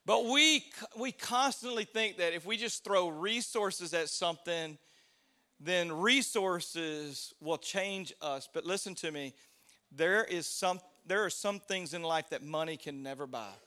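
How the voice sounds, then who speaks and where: A man's voice: high voice, unhurried, pushed and bright. A man preaching a sermon, in a conversational way, asking the crowd to respond. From United States. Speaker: pastor.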